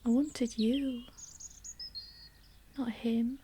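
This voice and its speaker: A woman acting a wistful married mother in a gentle radio monologue, reflective and intimate, sometimes sinking towards a whisper. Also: fairly high pitch, flat delivery, slight background noise. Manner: reflective, measured, intimate, dropping to near-whisper. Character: wistful married mother narrating her private longings. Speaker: actor in a solo radio play.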